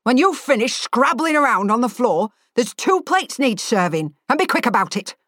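A woman's voice: on the high side, sing-song, moderate pace, fairly bright tone, no room sound, studio quality, voice pushed up. A woman voicing a burly, grumbling middle-aged male chef who mutters his complaints. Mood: impatient.

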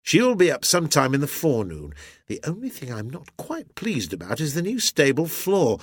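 Man acting hearty, exuberant sea captain, swooping pitch. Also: big pitch swings, a little slow, fairly bright tone, breathy edge, no room sound, clean studio sound, full character voice. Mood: eager, boastful. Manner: exuberant, bluff, old-fashioned nautical turns of phrase. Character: hearty, beaming, horse-mad married sea captain.

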